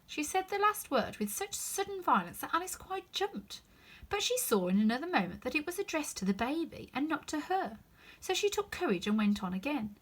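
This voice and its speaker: A woman reading a children's story aloud in an expressive narrating style. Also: sits high, a little fast. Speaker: storybook reader.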